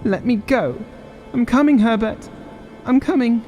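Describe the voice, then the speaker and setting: A man's voice: measured pace, warm tone, faint music behind. A man voicing a desperate, grieving old woman from a horror audiobook, crying out in a strained, urgent voice. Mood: frantic, desperate. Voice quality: strained, shrill.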